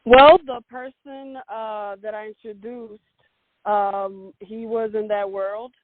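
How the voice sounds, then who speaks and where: A woman's voice: medium pitch, fairly even intonation, takes it slowly, no room sound. A woman in her forties from Romania, telling her story as an interview guest with many hesitant fillers. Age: 40s. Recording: dry, tinny phone line.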